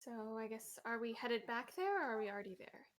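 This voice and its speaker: A young woman speaks softly and tentatively in a casual game chat. Her voice is somewhat high. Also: animated, fairly quick. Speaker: player.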